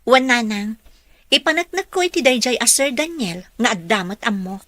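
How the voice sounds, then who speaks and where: A woman's voice: fairly high pitch, animated, normal speed, fairly bright tone, dry recording. A young woman voicing an earnest, polite young employee in a radio drama.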